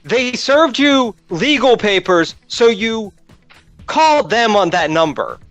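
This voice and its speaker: A man's voice: sits high, big pitch swings, slow, fairly bright tone, no echo, dull audio, faint music behind. A man playing a tabletop game, arguing casually in an emphatic, exasperated, lecturing way. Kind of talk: casual argumentative game banter.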